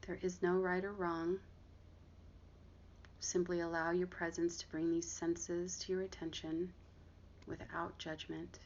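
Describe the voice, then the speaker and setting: A woman guiding a body scan meditation, giving gentle, unhurried instructions with long pauses between phrases. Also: lowish voice, flat delivery. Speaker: meditation guide and therapist. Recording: dull lo-fi audio with clear background noise.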